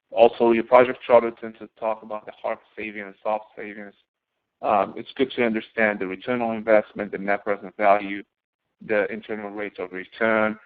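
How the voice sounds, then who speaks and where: Thin, phone-like audio carries a man teaching in an informal lecture style. His mid-pitched voice has a gravelly fry to it. He explains things emphatically, repeating key phrases for stress. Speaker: instructor.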